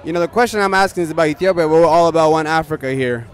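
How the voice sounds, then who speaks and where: A young man doing quick, upbeat street interviews with a handheld mic at an outdoor night festival. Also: a little high. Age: young adult. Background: music and crowd chatter.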